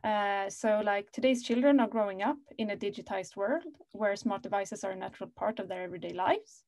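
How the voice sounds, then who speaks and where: A woman's voice: mid-range voice, brisk, darkish tone, no echo. A woman in her thirties answering a panel question over a laptop video call, earnest and full of "like" fillers. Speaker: panelist, company operations head.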